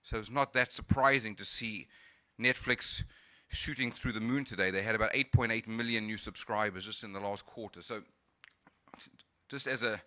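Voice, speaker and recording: A man's voice: on the low side, moderate pace, pushed and bright, no echo. A man whose accent mixes Cape Town and California, giving a conference talk with frequent hesitations and restarts. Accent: South African and Californian mix. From South Africa. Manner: hesitant, many fillers and restarts. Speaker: conference speaker. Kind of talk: conference presentation.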